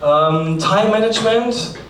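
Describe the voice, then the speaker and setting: A man's voice: high-pitched, slow, a bit bright, distant mic. Brief: German-accented male conference host, chatty and practical, echoey hall, faint background noise. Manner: chatty, practical, light asides. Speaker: forum host. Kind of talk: conference opening remarks.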